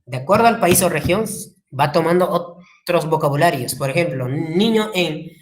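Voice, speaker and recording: Peruvian woman teacher, low voice, lively lecture delivery with acted-out quotes. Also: moderate pace, a little hiss or hum. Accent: Peruvian Spanish. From Peru. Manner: lively, acts out quotes, asks the class questions. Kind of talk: classroom lecture.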